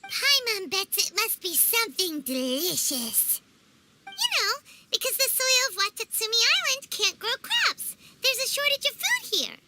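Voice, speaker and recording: A woman voicing a small, excitable childlike fairy companion with a squeaky voice in an anime video game. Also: unhurried, slight background noise, full character voice. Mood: chirpy, matter-of-fact. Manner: excitable, exclaiming. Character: small childlike floating fairy companion, chirpy and food-loving.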